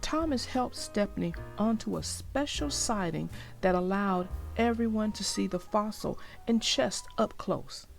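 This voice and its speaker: A woman narrating a children's story aloud, expressively and deliberately, as if to young listeners. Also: mid-range voice, creaky, faint music behind.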